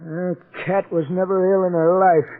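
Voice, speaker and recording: A man's voice: high voice, little pitch movement, normal speed. An actor playing a sickly, embittered and easily angered older man in a 1940s radio drama. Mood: bitter. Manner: querulous, suspicious, indignant. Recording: tinny, muffled 1940s radio recording.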